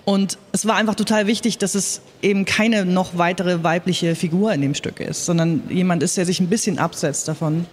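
A woman's voice: lowish voice, fairly even intonation, brisk, few pauses, breathy edge. A woman radio drama director talking casually in a panel discussion.

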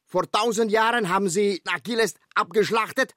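An actor playing a fawning, folksy Turkish guide in a comic radio play, with a Turkish accent, broken grammar and a repetitive, chummy way of talking. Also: male voice, sits high, normal speed, pushed and bright, no room sound, clean studio sound. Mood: chummy, boastful. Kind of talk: comic audio drama acting.

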